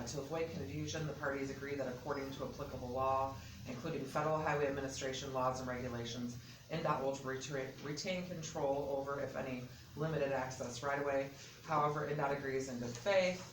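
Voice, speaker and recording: Loud-voiced man in an echoey meeting room. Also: mid-range voice. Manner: methodical, walks through sections point by point. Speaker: state transportation department representative. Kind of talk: explaining an agreement at a public meeting. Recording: echoey hall, distant mic, background noise.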